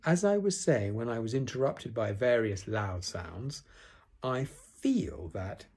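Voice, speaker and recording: A man's voice: mid-range voice, lively intonation, slow, darkish tone, husky, full character voice. A man voicing a self-important, gloomy old donkey from a storybook, pompous, sarcastic and put-upon. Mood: stern, indignant. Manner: pompous, ponderous speechifying.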